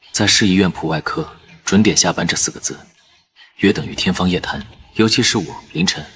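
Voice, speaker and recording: A man narrating a first-person story in a calm, even manner. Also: low voice, noisy background.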